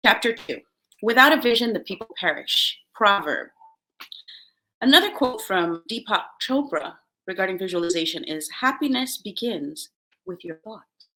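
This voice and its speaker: Woman, middle-aged, lively swooping intonation, gravelly fry, emphatic, motivational talk read aloud with commentary. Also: medium pitch, rough recording. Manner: emphatic, dramatic pauses, chuckles between phrases. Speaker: self-help show host. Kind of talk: reading aloud and commenting on a motivational book chapter.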